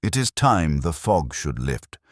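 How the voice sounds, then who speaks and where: A man voicing a grave, confident gentleman detective in a storybook, with measured, formal, authoritative speech. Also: extremely low pitch, big pitch swings, dry recording, studio quality, full character voice. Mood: assured, explanatory. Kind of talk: audiobook character dialogue.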